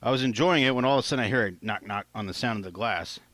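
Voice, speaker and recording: A man with a fairly low voice narrates a first-person story as an audiobook. His delivery is plain and informal, like an author reading his own work. The sound is dry and close, with little room around it. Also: rapid-fire, a bit bright, no room sound.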